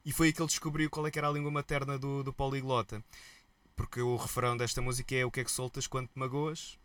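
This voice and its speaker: A man with a European Portuguese accent, a musician guest telling stories in a relaxed, colloquial interview chat. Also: medium pitch, fast talker. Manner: lively storyteller, relaxed and colloquial.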